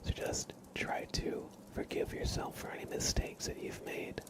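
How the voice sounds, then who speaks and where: A man hosting an ASMR livestream, talking in a gentle, reassuring, soothing way. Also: measured pace. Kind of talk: whispered ASMR, soothing affirmations and chat to viewers.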